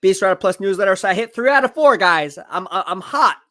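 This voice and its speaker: A man live-streaming football draft commentary, excitable and hyping each pick, his voice going hoarse. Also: sits high, fairly quick, dry recording, studio quality. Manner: excitable, hypes picks, reads chat aloud. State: losing his voice.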